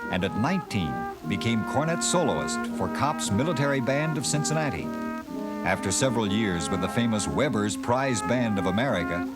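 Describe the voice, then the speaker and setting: A male documentary narrator reads his script with a measured, storytelling delivery and a lively, rising and falling voice. His pitch sits in the middle range. Loud band music plays behind him.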